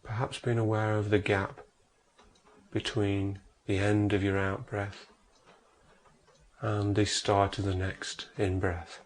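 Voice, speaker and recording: Slow, low-pitched man guiding meditation. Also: level tone, slight background noise. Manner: measured, instructional, with long pauses. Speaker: meditation guide. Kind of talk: guided meditation narration.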